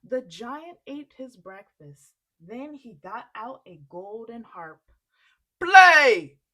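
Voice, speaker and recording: A woman reading a children's story aloud expressively, in an unhurried, deliberate way. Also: fairly high pitch, lively intonation, slightly rough, rough recording.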